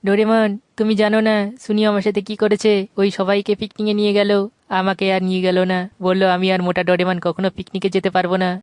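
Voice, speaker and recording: Whiny cartoon schoolboy, clear-voiced woman acting. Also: medium pitch, monotone, fast talker, darkish tone, close to an everyday voice. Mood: pleading, whiny. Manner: pleading, complaining. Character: whiny, pleading, timid schoolboy.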